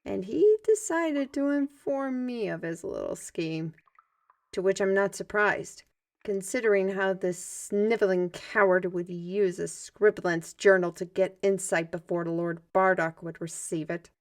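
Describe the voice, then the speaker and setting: A woman acts out a theatrical artist mage from a fantasy audio drama. She speaks in a mid-range voice with formal, old-world wording. Her delivery is expressive, like a mentor who tells tales, with sighs and small asides to herself. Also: mid-range voice, a little slow, natural voice. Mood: animated, indignant. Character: lively, theatrical artist mage with a magic paintbrush, storytelling mentor. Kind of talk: fantasy audio roleplay, ASMR.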